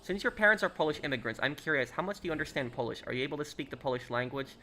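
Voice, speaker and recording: A man's voice: mid-range voice, animated, racing, bright, forceful tone, a little hiss or hum. American man chatting on a live stream. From United States. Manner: reads out and answers chat questions, many fillers and restarts. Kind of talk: casual live-stream chat.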